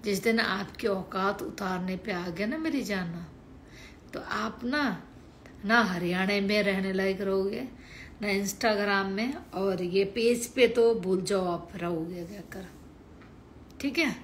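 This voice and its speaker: A hoarse woman from Haryana ranting crudely and combatively on a late-night live stream from home, swearing heavily into her phone. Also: mid-range voice, takes it slowly, slight background noise. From Haryana, India.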